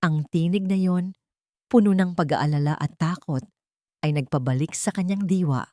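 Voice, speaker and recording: A woman's voice: on the low side, moderate pace, mellow, no room sound, clean studio sound. A woman narrating an audiobook, reading a scripted love story in a dramatic storytelling style.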